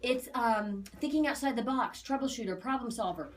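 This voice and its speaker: A woman's voice: on the high side, normal speed. Emphatic American woman giving a reading. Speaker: aura reader. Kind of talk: psychic reading, casual explanatory chat with clients.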